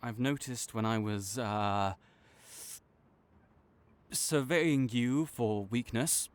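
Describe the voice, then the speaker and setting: A man playing an arrogant, teasing supervillain rival with a soft spot, speaking theatrically to the listener in an audio roleplay. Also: mid-range voice, extremely slow, clean tone. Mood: reluctantly tender. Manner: smug, teasing, theatrical with sighs. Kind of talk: dramatic roleplay monologue spoken to the listener.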